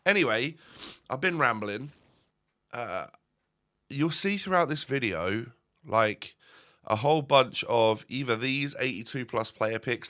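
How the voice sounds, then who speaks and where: A man's voice: mid-range voice, pushed and bright, clean tone. A young man with a stuffy, nasal voice, rambling through casual gameplay commentary with lots of fillers and restarts. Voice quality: nasal, congested. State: stuffy, bunged up. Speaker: gaming YouTuber.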